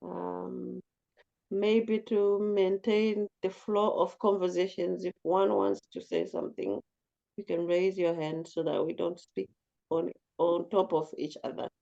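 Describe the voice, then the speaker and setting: A talkative woman with a low, commanding voice, leading a casual group discussion and speaking reflectively. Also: a little slow, gravelly, warm tone, highs cut off. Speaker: group facilitator.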